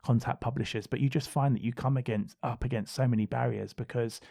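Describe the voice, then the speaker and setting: Man, podcast guest, husky gravelly voice, mellow tone, dry close sound, rambling casual talk. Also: mid-range voice, fairly even intonation, fast, no room sound. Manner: rambling, many restarts and "you know". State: voice going husky. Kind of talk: casual conversation.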